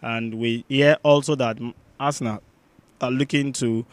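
A man co-hosting a sports podcast with casual news commentary, halting and often restating his phrases. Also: medium pitch, fairly even intonation, dry recording.

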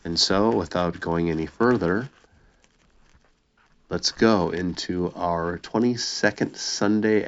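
A deep-voiced American man speaks as a pastor hosting a worship recording. His delivery is measured and halting, with pauses between phrases. The sound is a little dull and lo-fi. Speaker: pastor, preacher and host. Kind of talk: informal sermon introduction and prayer.

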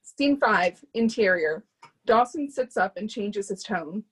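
A woman's voice: mid-range voice, animated, slow, fairly bright tone, husky, low-quality recording. A woman reading out stage directions as scripted narration at a screenplay reading.

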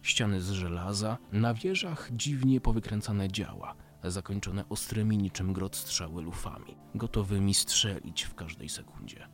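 A man playing a weary, embittered survivor in a dystopian radio drama, narrating in a measured, brooding literary way. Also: lowish voice, lightly acted. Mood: brooding, sombre. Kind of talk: scripted first-person radio drama monologue.

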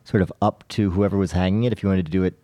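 A man's voice: low voice, steady tone, very fast, warm tone, no echo. A man chatting casually as a podcast panelist, telling anecdotes in a conversational, self-deprecating way.

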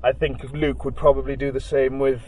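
Rambling young Welsh musician. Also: male voice, medium pitch, fairly quick, low-quality recording. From Wales. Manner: rambling, many "like" fillers, animated storytelling. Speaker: band member, interviewee. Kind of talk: casual interview chat.

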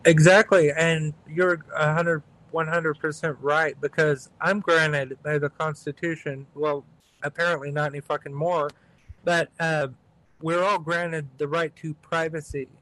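A man's voice: fairly high pitch, level tone, measured pace, a bit bright, low-quality recording. A man hosting a podcast over a video call, with a stutter, swearing casually and agreeing often. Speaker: podcast host.

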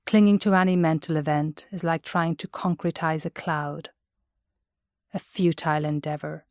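Irish lady doing a guided meditation, low voice, gentle and measured, really dry close sound. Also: steady tone, no room sound. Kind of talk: guided mindfulness meditation narration.

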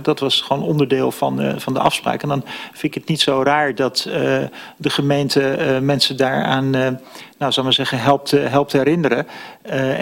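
A man making unscripted remarks at a formal meeting as a politician, halting and stammering with many hesitations. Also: mid-range voice, gravelly, a bit bright.

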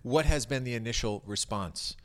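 A man's voice: mid-range voice, moderate pace. A man hosting an interview, asking friendly, leading questions in a conversational way. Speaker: interviewer, host.

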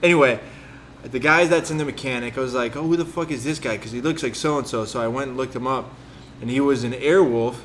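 A man of middle pitch hosts a laid-back podcast, wandering between tangents and dropping profanity as he talks. He often loses his thread mid-sentence. Faint background noise hums under the recording. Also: fairly quick, a bit bright. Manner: rambling, profane, loses his train of thought. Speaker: podcast host. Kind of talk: casual chat.

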